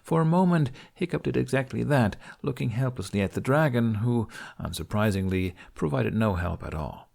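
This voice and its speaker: A man's voice: medium pitch, lively intonation, moderate pace, creaky, hardly any pauses, a little mellow. A man reading scripted fiction as an audiobook narrator.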